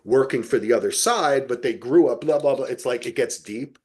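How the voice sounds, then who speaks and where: A man's voice: medium pitch, brisk, darkish tone. A middle-aged man co-hosting a podcast, reviewing television casually with emphatic, playfully exaggerated storytelling. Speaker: podcast co-host.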